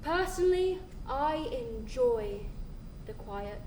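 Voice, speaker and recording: A woman on stage playing a prim, reserved figure with clipped phrases and deliberate pauses. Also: high voice, animated, darkish tone, exaggerated voice. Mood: aloof, dry. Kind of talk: stage play acting. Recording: echoey distant stage recording, clear noise.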